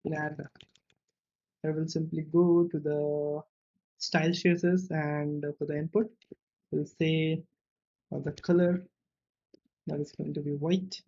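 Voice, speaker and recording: A man presenting a casual screencast coding tutorial, thinking aloud step by step in a halting way with little check-in tags. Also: a little high, little pitch movement, darkish tone. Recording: dull, muffled lo-fi audio.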